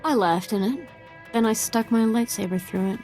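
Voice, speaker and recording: An actress playing a young, bloodthirsty Sith apprentice in a game, earnest and devoted to her master, with quiet menace. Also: fairly high pitch, moderate pace, faint music behind, close to an everyday voice. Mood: grim satisfaction. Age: young adult. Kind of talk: video game voice acting.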